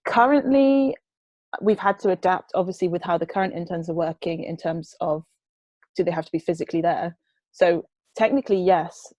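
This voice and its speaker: A woman's voice: on the low side, a little mellow, no room sound. A young woman answering questions for her food waste group on a video call, chatty and hesitant, laughing now and then. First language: not Dutch. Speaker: presenter of a food waste charity. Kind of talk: online Q&A answering.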